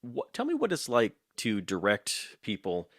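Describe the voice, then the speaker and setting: A man with a deep, low voice hosts a relaxed conversational interview in a very dry recording. He speaks emphatically, doubling up words to stress a point as he leads into his questions. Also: unhurried, no room sound. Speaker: interviewer, host.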